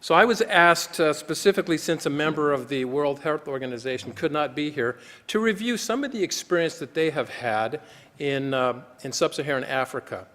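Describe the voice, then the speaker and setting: A man from the United States giving a formal conference talk as a physician, earnest and measured. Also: a little high, expressive, a bit bright. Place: conference hall. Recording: long hall reverb.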